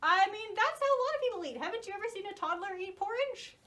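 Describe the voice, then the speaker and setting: A woman with a high, very lively voice tells a children's story. She hosts like a puppet show presenter, animated and reassuring, with wide swoops in her intonation. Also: fast talker.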